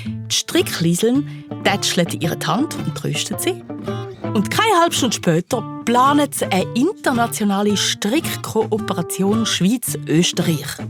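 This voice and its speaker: A woman's voice: medium pitch, close to an everyday voice. A woman playing a wry, playful narrator, telling a scripted children's detective story in German. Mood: storytelling.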